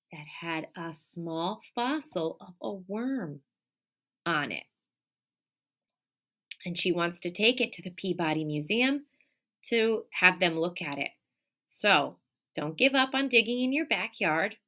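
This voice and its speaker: A woman teacher in her thirties reads a picture book aloud, taking her time over each line. Her voice is bright and a touch low, and she livens the story with gasps and questions for the children. It is captured on a close webcam in a classroom. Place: classroom or office with window and colorful wall hanging. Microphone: webcam at close range.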